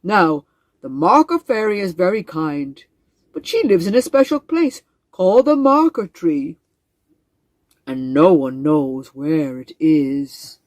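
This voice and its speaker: Lady doing a wise old frog, slow and grand, bit lower, dry close mic. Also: animated, darkish tone, no echo. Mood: thoughtful.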